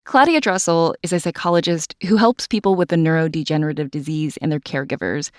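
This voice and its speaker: A woman hosting a podcast, telling the story in scripted narration with an expressive delivery. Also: deep voice, fast talker, dry recording, clean studio sound. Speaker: podcast host.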